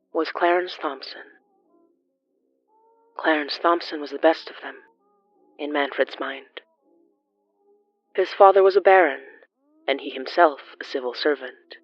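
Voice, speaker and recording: A narrator reading scripted horror fiction in a formal, measured storytelling manner. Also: medium pitch, dry recording, muffled recording.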